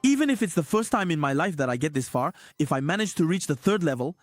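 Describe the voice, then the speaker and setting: A hoarse man giving excited, repetitive casino slot commentary as a streamer. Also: on the high side, fast talker, dry recording.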